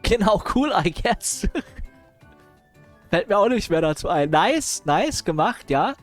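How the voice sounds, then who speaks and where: Impatient, lively-voiced male streamer. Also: high-pitched, slow pace, soft background music. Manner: impatient, sarcastic, chuckling asides. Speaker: streamer reacting to videos. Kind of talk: casual reaction commentary.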